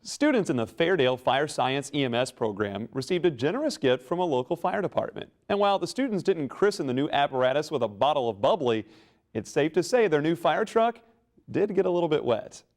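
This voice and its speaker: A man hosting a school news program, reading scripted introductions in a smooth, measured way. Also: on the high side, very animated, darkish tone. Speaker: program host.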